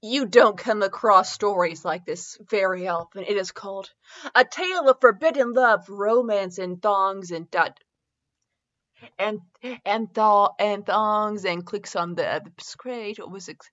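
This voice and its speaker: A woman's voice: medium pitch, measured pace, fairly bright tone, lo-fi sound. A woman hosting a comedy fanfiction reading, giggly and mock-dramatic, breaking off into casual asides. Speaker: podcast host reading fanfiction.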